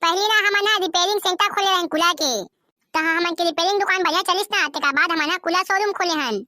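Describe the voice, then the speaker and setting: A performer voicing a brash, scheming cartoon boy shopkeeper in a squeaky, artificial voice with a Chhattisgarhi accent. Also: fast, sharp tone, dry recording, clean studio sound, heavily acted. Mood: boastful. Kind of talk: comedy cartoon dub in Chhattisgarhi.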